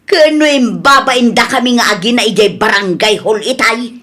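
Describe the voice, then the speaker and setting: A woman playing a meddling, protective mother in a radio drama, with an animated, scolding manner. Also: on the high side, normal speed. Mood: defiant, scolding. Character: meddling, hot-headed mother, protective of her grown son. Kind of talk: Ilocano radio drama acting.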